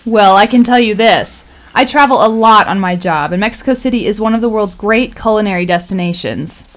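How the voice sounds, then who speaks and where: A woman's voice: mid-range voice, a little fast, lightly acted. A woman acting in a radio play as a friendly professional in her thirties, polite and playful.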